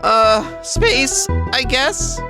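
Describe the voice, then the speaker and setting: A woman playing a nervous, excitable game show contestant in an audio drama. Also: high voice, extremely slow, full character voice. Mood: unsure. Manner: hesitant, frantic. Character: nervous, excitable contestant who pleads desperately. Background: loud music.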